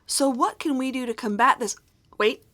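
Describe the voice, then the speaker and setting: A woman's voice: high voice, normal speed, a bit bright. A woman playing a professional TV news anchor with polished broadcast delivery in a sci-fi audio drama. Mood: urgent, professional.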